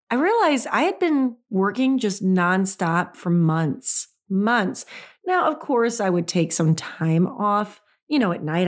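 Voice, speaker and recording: A raspy-voiced woman, talking as host of her own podcast. She chats casually and laughs often, and her voice sits in a middle pitch. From Midwest United States. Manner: chatty, laughs often, says y'all. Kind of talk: casual solo podcast chat.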